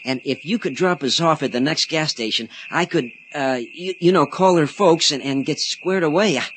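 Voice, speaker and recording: A man's voice: a little high, moderate pace, fairly bright tone, slight background noise, lightly acted, poor audio. An actor in a radio drama playing an eager, chatty young man, boyish, polite and rambling. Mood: eager, nervous. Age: young adult. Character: eager, chatty young male Senate page.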